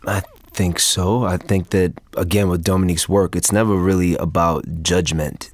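A man's voice: low-pitched, steady tone, moderate pace, dry recording. A man, an actor, talking casually as a guest in an interview.

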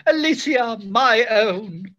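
A woman's voice: on the high side, animated, takes it slowly, sharp tone, heavily acted. A woman playing a lovestruck, foolish male stage hero with overblown theatrical delivery in an old-style radio play. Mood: gallant, lovestruck. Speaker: actor. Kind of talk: 1930s radio acting.

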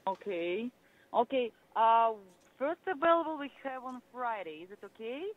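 A woman's voice: on the high side, very animated, normal speed. A woman working as a salon receptionist, politely handling a customer call. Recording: dull lo-fi phone call.

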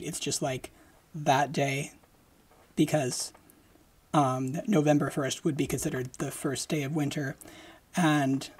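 A young adult podcast host chatting casually at home into a close studio mic, halting with fillers and restarts. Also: medium pitch. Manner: speaks slowly with lively hand gestures. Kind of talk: casual solo podcast chat. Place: decorated home room, indoors. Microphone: large studio mic close in front.

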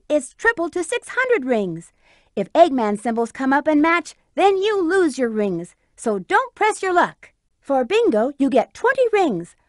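A woman's voice: high voice, no echo, studio quality. A woman voicing a sultry, sassy female bat treasure hunter in a video game, teasing, confident and flirtatious. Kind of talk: video game character voice acting.

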